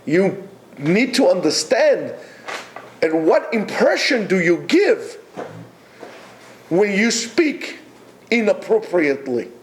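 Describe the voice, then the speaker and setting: Man, yeshiva teacher, fairly high voice, lively pitch, slow emphatic delivery. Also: slight background noise, rough recording. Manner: emphatic, exhorting, with many pauses. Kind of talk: Torah lecture to students.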